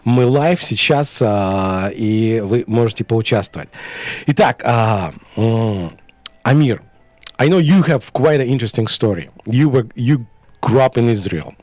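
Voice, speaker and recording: A man's voice: medium pitch, animated, a little slow, darkish tone, no echo. A man hosting a radio interview in a studio, talking hesitantly with many fillers. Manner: hesitant, many fillers, switching between two languages. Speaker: radio host.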